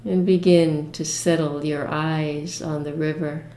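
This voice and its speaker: A woman leading a guided meditation with imagery, speaking in a gentle, soothing manner with long pauses. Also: low voice, steady tone, roomy sound.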